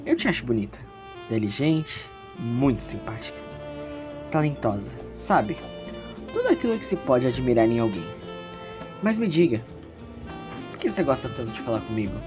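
Woman acting a deep-voiced, hesitant teenage schoolboy. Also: big pitch swings, normal speed, long pauses, music in the background. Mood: smitten, unsure. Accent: Brazilian. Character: earnest, self-doubting teenage schoolboy with political ambition.

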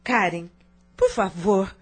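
A woman's voice: mid-range voice, sing-song, slow, husky, faint music behind, exaggerated voice. An actress playing a wealthy, firm mother in a radio drama, speaking with stern incredulity. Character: wealthy middle-aged mother, stern but indulgent.

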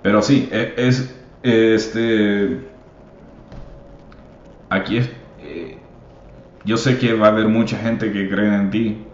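Podcast host, man, deep and unhurried, mixes in English, encouraging, echoey dull recording. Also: steady tone, a little mellow, a little hiss or hum. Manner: switches between Spanish and English, many fillers; encouraging, calls listeners papi. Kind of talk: casual podcast monologue. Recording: echoey room, slightly dull sound.

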